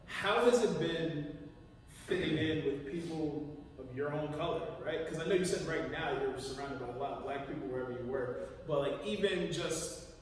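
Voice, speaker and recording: A young man co-hosting a podcast asks questions and jokes with quick interjections, his voice a little higher than most men's. He sits away from the mic, and the room adds a long, echoing tail. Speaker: podcast co-host. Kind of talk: casual chat, asking questions. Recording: echoey room, far from mic.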